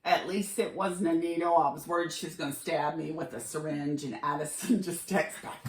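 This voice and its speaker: A woman's voice: deep voice, normal speed. Middle-aged woman telling anecdotes, echoey room. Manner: animated retelling with quoted dialogue. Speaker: podcast host telling travel anecdotes. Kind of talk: casual conversational storytelling. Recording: roomy echo with clear background noise.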